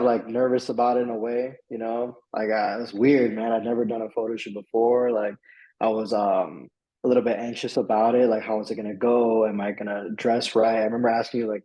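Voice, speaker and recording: A man's voice: fairly low pitch, mellow, lo-fi sound. A young man from Miami telling his story as a client in a casual interview. He rambles a little, with lots of fillers and restarts. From Miami, USA. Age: young adult. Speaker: client giving a testimonial.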